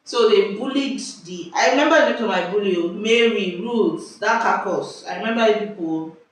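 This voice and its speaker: Lively Nigerian woman in an echoey room. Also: medium pitch, moderate pace. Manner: lively, exclamatory, self-interrupting. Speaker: vlogger. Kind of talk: casual storytelling chat. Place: indoors at home. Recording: echoey room, distant mic, faint hiss.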